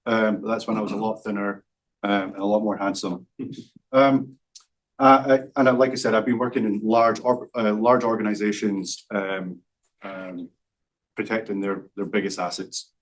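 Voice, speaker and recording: A Scottish man in his forties, a startup founder giving a live pitch with lots of ums and self-deprecating asides. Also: flat delivery, moderate pace, far from the mic, low-quality recording. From Scotland. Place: event stage.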